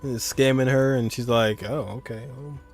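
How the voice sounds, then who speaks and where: A man's voice: medium pitch, fairly even intonation, moderate pace, soft background music. Male streamer, offhand gameplay commentary. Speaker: streamer reacting to a game.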